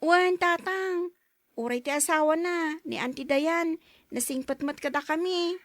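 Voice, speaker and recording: A woman's voice: very high voice, measured pace, a bit bright, dry recording. A woman playing a dutiful, affectionate young schoolgirl daughter in a radio drama. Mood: respectful. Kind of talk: Ilocano radio drama acting.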